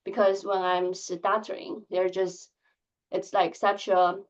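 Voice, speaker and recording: A woman in her thirties who stutters, giving a candid conference talk with blocks and filled pauses. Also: mid-range voice, flat delivery, dull audio. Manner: soft-spoken, candid, measured. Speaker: conference speaker. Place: conference hall.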